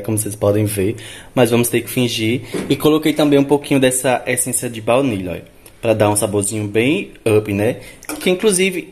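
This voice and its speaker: A young man from northeastern Brazil with a Recife-like accent and hissing s sounds, chatting playfully while cooking in his home kitchen. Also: mid-range voice. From Natal, northeastern Brazil. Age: young adult. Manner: chatty, playful, breaks into giggles. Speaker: vlogger. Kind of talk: casual cooking vlog chat. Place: tiled home kitchen, indoors. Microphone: camera several feet away. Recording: echoey tiled kitchen, distant mic.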